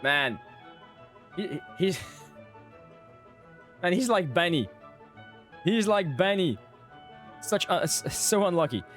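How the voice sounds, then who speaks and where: A man's voice: high voice, animated, takes it slowly, long pauses, fairly bright tone, soft background music. A man giving casual, joking live commentary as a game streamer, often repeating his phrases.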